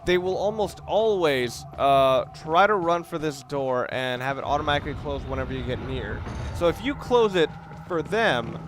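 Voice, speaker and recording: A man's voice: fairly high pitch, animated, moderate pace, few pauses. A man giving casual, wry and rambling commentary as he talks through a video game walkthrough. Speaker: let's play commentator. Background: game music and sound.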